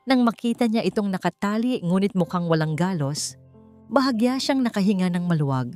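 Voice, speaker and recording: A woman's voice: medium pitch, lively intonation, brisk, darkish tone. A woman reading a scripted love story as a dramatic narrator. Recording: music behind the voice. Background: background music.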